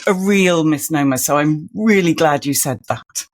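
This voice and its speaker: A woman hosting a podcast, reading an ad and introduction before chatting in an earnest, measured way. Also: low voice. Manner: earnest, measured, warmly appreciative. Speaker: podcast host and coach. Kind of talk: scripted intro then interview chat.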